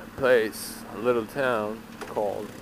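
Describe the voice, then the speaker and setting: A man talking casually to viewers as a motorcycle vlogger, speaking in short phrases with long pauses while riding. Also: fairly low pitch, steady tone. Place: outdoors on a motorcycle in city traffic. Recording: traffic, engine and wind noise.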